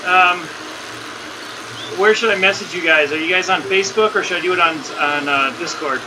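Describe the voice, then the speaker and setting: Man about fifty-two, slightly high voice, friendly organizer, clear background noise. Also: normal speed. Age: 50s. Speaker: ride organizer. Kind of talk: casual chat.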